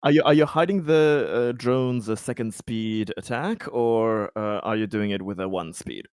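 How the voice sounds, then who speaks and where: A man's voice: on the low side, moderate pace, a little mellow, clean tone, dry recording, studio quality. A man playing a tabletop roleplaying game, chatting casually and chiming in reactively, often repeating his words.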